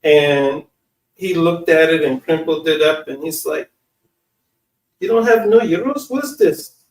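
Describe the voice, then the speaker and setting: American male, slightly high voice, informal and halting storyteller, recorded at a distance from the mic. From United States. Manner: informal, slangy, halting delivery. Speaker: online speaker and channel host. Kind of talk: casual personal storytelling. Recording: echoey room, distant from mic.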